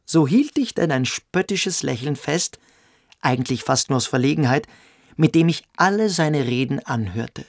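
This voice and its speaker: An actor playing a smug, quietly cruel young boarding-school pupil, telling his story theatrically in a radio play. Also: medium pitch, expressive, normal speed, dry recording, studio quality. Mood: mocking. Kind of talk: dramatic first-person storytelling in a radio play.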